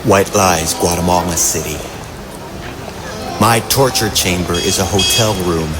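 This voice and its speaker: A man giving a measured, deliberate spoken-word poetry reading. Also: deep voice. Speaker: poetry reader. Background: loud music soundscape.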